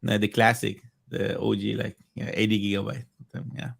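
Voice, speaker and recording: Native Farsi-speaking man, gravelly and halting. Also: lowish voice, little pitch movement, no echo, clean studio sound. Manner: halting, repetitive phrasing as a second-language speaker. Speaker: podcast guest, video game collector. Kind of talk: casual chat.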